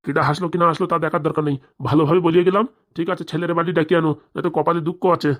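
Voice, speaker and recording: Give me an actor doing an argumentative cartoon villager, blustering at extremely high speed. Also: level tone, rapid-fire, lightly acted. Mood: threatening. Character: quarrelsome village characters, threatening elder and evasive father. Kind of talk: comedy cartoon voice acting.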